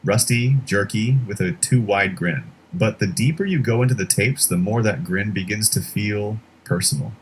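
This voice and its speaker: A man narrating a scripted horror explainer in a measured, dramatic storytelling way. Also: fairly low pitch, a little hiss or hum.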